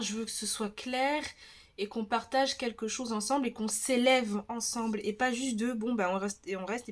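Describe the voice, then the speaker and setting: A woman with a French accent giving a relaxed tarot reading, talking in a chatty, hesitant way with many restarts and a slightly stuffy nose. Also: mid-range voice. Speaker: tarot reader. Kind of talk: casual tarot reading video.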